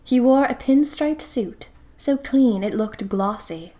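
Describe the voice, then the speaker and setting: A young woman playing a spirited, romantic single woman of the 1920s, narrating her diary in an expressive, wistful, theatrical way. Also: fairly high pitch, slow pace. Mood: breathless storytelling. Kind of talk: dramatic first-person diary narration.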